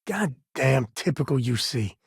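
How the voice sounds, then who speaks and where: A man acting as a wry, warm-hearted space explorer and dad in a video game, with a raspy voice and a folksy, dry manner. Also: medium pitch, lively intonation, a little slow, no echo, full character voice. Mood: wry, sardonic.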